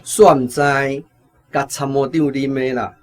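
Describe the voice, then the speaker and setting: An actor playing a brash, lazy veteran soldier with a Taiwanese accent, boastful and offhand, in a radio drama. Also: male voice, medium pitch, steady tone, unhurried, faint music behind, natural voice. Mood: self-assured. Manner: cocky, offhand.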